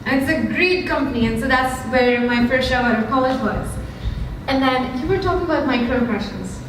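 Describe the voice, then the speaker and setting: A woman's voice: high-pitched, fairly quick. Indian woman speaking in an echoey hall. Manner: candid, conversational, frequent ums. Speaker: startup founder giving a talk. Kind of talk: storytelling lightning talk. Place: event hall with audience. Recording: very echoey hall from far off, noisy.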